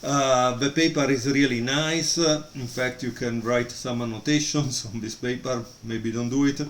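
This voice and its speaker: Guy rambling through a book review, lots of uhs, middle-pitched voice, faint noise in the room. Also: steady tone, normal speed, reverberant. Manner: hesitant, many fillers. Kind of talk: casual video review. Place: room at home, storm outside.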